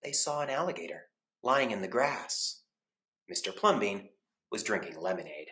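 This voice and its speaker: A man narrating a children's storybook as a librarian would, expressively, with deliberate pauses between phrases. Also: on the low side, sing-song, bright, forceful tone. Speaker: school librarian reading aloud. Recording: echoey room.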